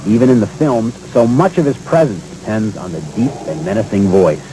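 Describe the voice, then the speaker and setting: A man narrating a scripted feature as a reporter, polished and measured. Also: on the low side, sing-song, noisy background.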